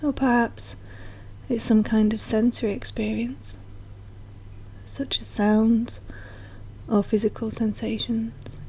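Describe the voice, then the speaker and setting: A woman narrating a guided meditation in a soft, slow, soothing manner, as a guide speaking directly to the listener. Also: on the high side, little pitch movement, slight background noise, poor audio.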